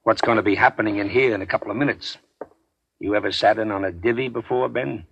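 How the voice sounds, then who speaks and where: Gravelly, rueful small-time radio gangster. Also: male voice, lowish voice, fast, a bit bright, slight background noise. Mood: uneasy. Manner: hesitant, folksy, confiding. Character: rueful, rambling small-time gangster, once a hillbilly preacher. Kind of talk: 1940s radio crime drama acting.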